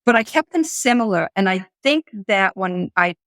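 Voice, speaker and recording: Older female interview guest, medium pitch, reflective, searching for words, dry studio-free-of-echo sound. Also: animated, fairly bright tone. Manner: halting, searching for words, repeats phrases. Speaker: interview guest, author and psychologist. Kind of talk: reflective personal conversation.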